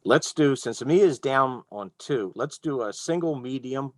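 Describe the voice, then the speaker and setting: A man's voice: medium pitch, expressive, unhurried, dry recording, studio quality. Mid-voiced man playing a tabletop game. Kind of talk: casual game talk.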